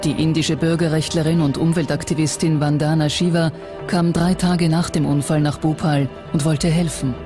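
A narrator reading a scripted documentary in a measured, factual way. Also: mid-range voice, steady tone. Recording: music bed under the voice. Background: music.

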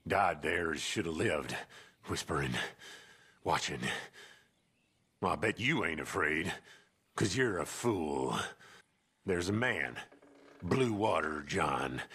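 An actor playing a grim, menacing American outlaw in a western video game, with an ominous, taunting drawl. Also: male voice, low voice, bright, forceful tone, slightly rough, exaggerated voice. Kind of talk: video game cutscene monologue.

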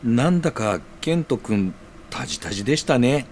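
An actor playing a gentle, considerate, friendly senior colleague in an audio drama, choosing soft, calming words. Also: male voice, mid-range voice, normal speed, close to an everyday voice. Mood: agreeable. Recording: audible background noise.

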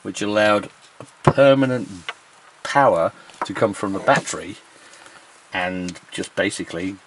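A man's voice: lowish voice, a little slow, a bit bright, slight background noise, rough recording. A man in his fifties explaining things casually to camera as a sailing vlog host. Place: outdoors at a marina and aboard a sailboat.

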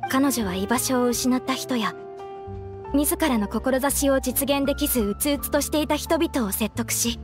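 A woman voicing a polite, devoted, wistful young theatre performer in a game, earnest and formal. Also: sits high. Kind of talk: Japanese game voice acting.

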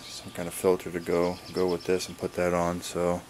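A man giving a casual, laid-back vlog update in a halting, plain-spoken way, recorded on a handheld camera in a garage. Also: sits low, monotone, noisy background. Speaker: wood-splitting video blogger. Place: garage, open to outdoors. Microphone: handheld camera's built-in mic.

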